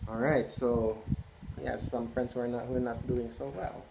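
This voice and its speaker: A man's voice: mid-range voice, flat delivery, moderate pace, vocal fry. A man reading a picture book aloud, chatting and asking listeners questions. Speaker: read-aloud host. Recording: echoey room, faint noise.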